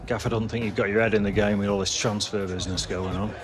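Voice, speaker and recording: A man's voice: on the low side, fairly even intonation, fast, natural voice. A man playing a blunt football coach in a game cutscene.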